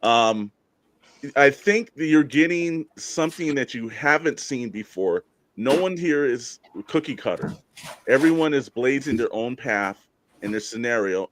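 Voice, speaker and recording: A man's voice: medium pitch, slight background noise. A man hosting a casual panel chat, speaking earnestly in little speeches to the group. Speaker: host.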